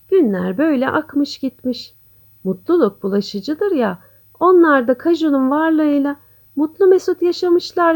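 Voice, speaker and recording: A woman storyteller reading a scripted children's bedtime tale in a gentle, expressive manner. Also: sits high.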